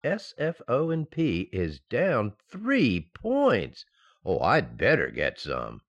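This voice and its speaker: A man's voice: fairly low pitch, huge pitch swings, slow, fairly bright tone, lo-fi sound, full character voice. A man playing a mild-mannered, distracted husband in an old-time radio sitcom, hesitant and absent-minded.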